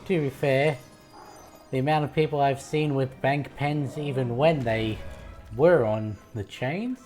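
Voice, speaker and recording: A man's voice: medium pitch, unhurried, a little mellow. A young man with a light Australian accent casually commenting as he plays a game on stream, musing aloud to chat. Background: game music.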